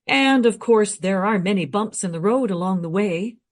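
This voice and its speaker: A woman playing a well-informed, matter-of-fact history researcher in a radio play, explaining things briskly and frankly. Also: medium pitch, natural voice. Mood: gentle, guarded.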